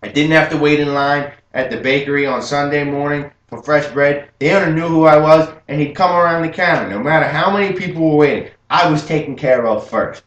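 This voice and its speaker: A young man playing an adult gangster who proudly recalls his youth, telling it earnestly and rising with excitement, as a memorized film monologue. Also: on the high side, a little fast, hardly any pauses, muffled recording, voice pushed up, lightly acted. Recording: roomy room with faint hum.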